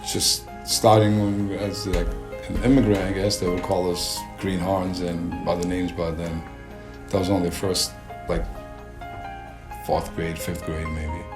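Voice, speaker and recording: Deep, flat-voiced man over music. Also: a little slow. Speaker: interviewee. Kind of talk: casual, halting interview recollection. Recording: music under the voice.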